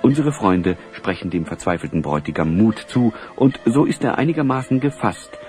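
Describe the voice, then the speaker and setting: A man's voice: lowish voice, animated, normal speed, darkish tone, soft background music. A man narrating an audio drama as a scripted storyteller.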